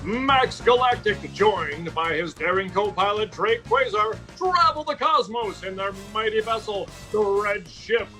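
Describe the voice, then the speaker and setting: Bombastic high-pitched radio serial announcer played by a man. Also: expressive, measured pace, few pauses, bright, forceful tone, soft background music, exaggerated voice. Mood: grandiose. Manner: bombastic, theatrical. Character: grandiose announcer of a space-hero comedy. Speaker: narrator. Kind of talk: old-time radio serial narration.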